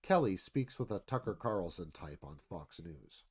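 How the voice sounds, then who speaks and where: Man narrating with lively pitch swings. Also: moderate pace, husky. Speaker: narrator reading stage directions. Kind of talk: scripted screenplay narration.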